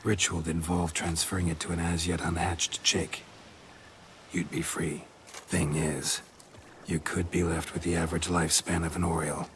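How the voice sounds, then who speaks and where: An actor playing a stoic, gruff monster hunter in a video game, speaking in terse, clipped phrases with a low, gravelly voice. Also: male voice, little pitch movement, moderate pace, noisy background, natural voice. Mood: cautious, matter-of-fact. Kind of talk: video game cutscene acting.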